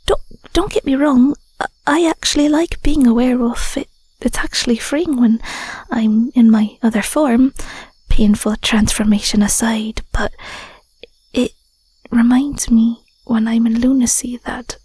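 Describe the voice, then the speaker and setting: A woman voicing an affectionate, bashful young Scottish werewolf girlfriend in an intimate audio roleplay, soft, whispery and a little hesitant, close on the mic. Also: on the high side, measured pace, breathy edge. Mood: sincere, tender. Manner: hesitant, stammering, murmuring endearments. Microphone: close microphone.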